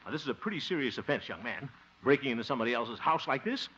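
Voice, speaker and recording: An actor playing a flustered, blustering middle-aged man in a 1950s radio comedy, excitable and easily exasperated. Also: medium pitch, racing, slightly rough. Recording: noisy, dull restored 1950 radio recording.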